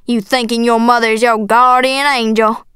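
A woman acts out a middle-aged Southern father for an audiobook. He is harsh, bitter and bullying, with a gruff, threatening delivery in a very dry recording. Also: fairly high pitch, level tone, normal speed, fairly bright tone, no echo, close to an everyday voice. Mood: angry, scornful. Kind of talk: audiobook character acting.

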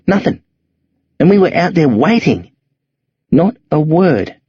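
A man voicing a tough, streetwise teenage boy who talks low and rough in slang on a dull, lo-fi recording. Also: big pitch swings, slow pace, warm tone, no room sound, full character voice. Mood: brooding. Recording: dull lo-fi audio.

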